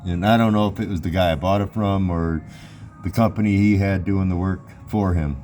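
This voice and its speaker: A man with a deep voice chatting casually to viewers as he works on his car, rambling and often apologizing about the camera. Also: fairly even intonation, fairly quick, dark tone, faint music behind. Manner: rambling, apologetic, talks to viewers while working. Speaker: vlogger working on his car. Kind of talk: casual DIY repair vlog. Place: garage or driveway, working on an engine. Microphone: phone camera set near him.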